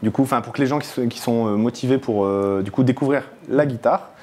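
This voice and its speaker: A man's voice: mid-range voice, fairly quick, dark tone, slight background noise. A man hosting a casual studio interview, speaking informally and encouragingly to his guest. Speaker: interviewer, host. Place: indoor studio.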